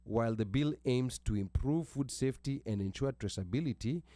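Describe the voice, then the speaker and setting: An American man hosts a talk radio discussion, reading a passage aloud in halting bursts with frequent restarts. His voice sits at a middling pitch. The recording is dry and close. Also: mellow, no echo. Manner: reads haltingly with restarts, asks about pronunciation. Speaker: radio show host.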